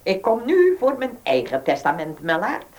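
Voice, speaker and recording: A woman's voice: medium pitch, huge pitch swings, moderate pace, a little mellow. An actress playing a domineering older lady of an estate in a radio drama, brusque, stubborn and formally commanding. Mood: brusque, haughty. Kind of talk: Dutch radio drama acting.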